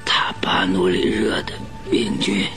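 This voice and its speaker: An actor playing a gravely sick detective in a radio drama, breathy and groaning, pleading in halting, labored words. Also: male voice, deep voice, steady tone, in a whisper, music in the background. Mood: weak, laboring. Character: gravely sick male detective, weakly pleading with his enemy. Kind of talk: Mandarin radio drama acting.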